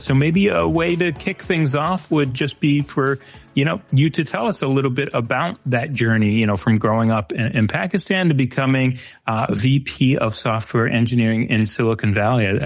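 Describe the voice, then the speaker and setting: A man's voice: mid-range voice, fairly quick, soft background music. A man hosting a podcast, asking questions in a friendly way with many fillers. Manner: friendly, uses many "you know" fillers. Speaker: podcast host. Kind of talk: scripted intro, then casual interviewing.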